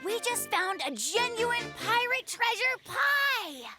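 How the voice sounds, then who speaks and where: Casting: eager young cartoon bear, very high and lively, boyish boaster, music behind. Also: slow, heavily acted. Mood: excited. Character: cheerful, earnest, eager young bear playing pirate. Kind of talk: children's animated cartoon dialogue. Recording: music under the voice.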